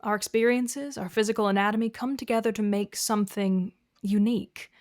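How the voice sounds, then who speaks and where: A woman playing a composed, gently corrective therapist in an audio drama, speaking in a measured, patient, questioning way. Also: mid-range voice, fairly even intonation, no room sound, clean studio sound, close to an everyday voice. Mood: measured, serious. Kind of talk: naturalistic audio drama dialogue.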